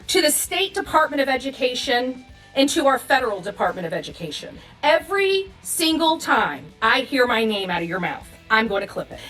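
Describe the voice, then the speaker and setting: A woman rants informally to her online audience. Her voice is high and sharp, and she is combative, hammering points by repeating them. Faint music runs behind her. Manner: combative, repetitive, emphatic. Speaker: online video content creator. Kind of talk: informal unscripted rant to an audience.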